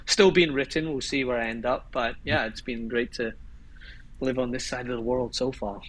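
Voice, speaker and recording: A Scottish man in his thirties with a husky, breathy voice talks as a podcast guest. He speaks in a relaxed, meandering way, restarting his sentences as he goes. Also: mid-range voice, normal speed, low-quality recording. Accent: Scottish, Glasgow. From Scotland. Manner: relaxed, rambling, with restarts and laughs. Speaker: guest filmmaker. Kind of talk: casual podcast chat.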